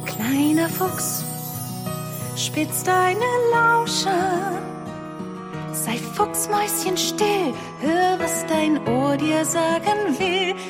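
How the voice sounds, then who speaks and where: A woman performing a gentle, dreamy narrator of mindfulness verse in a children's audio play. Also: extremely high pitch, expressive, very slow, exaggerated voice. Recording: produced audio with loud music. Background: loud instrumental music.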